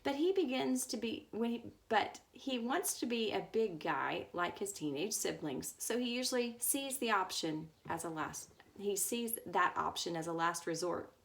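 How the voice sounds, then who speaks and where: A woman's voice: medium pitch, animated, moderate pace, vocal fry. A woman reading a book aloud as a storyteller, now and then stumbling over words. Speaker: story reader.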